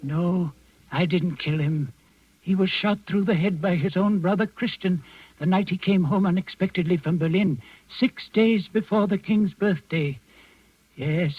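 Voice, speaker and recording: An actor playing an old servant in the resistance, narrating deliberately and dramatically in 1940s radio style. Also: male voice, high-pitched, breathy edge, no echo. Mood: grim, confiding. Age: older. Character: old servant confessing calmly to underground resistance work. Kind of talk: 1940s radio acting, first-person narration. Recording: muffled 1940s radio with faint music.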